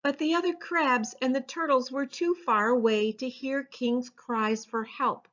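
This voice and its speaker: A woman reading a children's storybook aloud as its author, in an expressive storytelling style. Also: high voice, unhurried. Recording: dull lo-fi sound.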